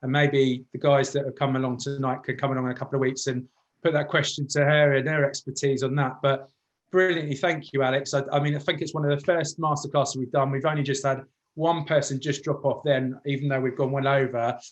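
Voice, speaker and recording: Guy hosting a music class, medium pitch, informal and effusive. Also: flat delivery, a little fast. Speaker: host of music masterclass.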